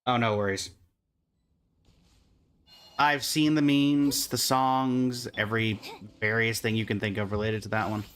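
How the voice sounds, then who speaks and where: A man's voice: medium pitch, fairly even intonation, a little slow, long pauses, a bit bright. A man livestreaming a game, chatting casually and in a friendly way with viewers while commenting on his play.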